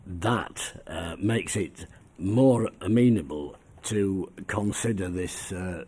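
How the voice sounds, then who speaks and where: A councillor with a heavy cold putting polite, deliberate questions to a committee. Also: male voice, sits low, warm tone, breathy edge.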